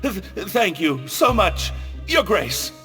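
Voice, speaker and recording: A high, bright male voice as a nervous prisoner in a video game. He stammers timidly, very slow and halting, in long reverb. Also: big pitch swings, full character voice. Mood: flustered, scared. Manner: stammering, hesitant. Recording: long reverb, faint game music behind.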